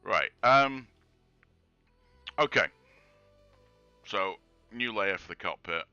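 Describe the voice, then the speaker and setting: A man with a croaky sore throat, thinking aloud through a casual 3D modelling tutorial with lots of ums. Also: on the low side, expressive, takes it slowly, pushed and bright, dry recording, highs cut off, faint music behind. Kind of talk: casual screen-recorded tutorial.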